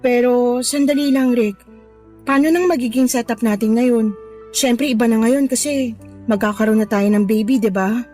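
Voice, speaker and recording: A woman's voice: fairly high pitch, fairly even intonation, normal speed, mellow, soft background music, close to an everyday voice. A woman with a Filipino accent playing a coaxing, sweet-talking, money-minded young mistress in a Tagalog radio drama. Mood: pleading.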